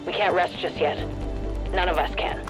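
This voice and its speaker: Female actor as resolute agent, weary, earnest, determined, video game drama, clear music underneath. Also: on the low side, fairly even intonation, moderate pace, lightly acted, poor audio. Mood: grave resolve. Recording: music behind the voice.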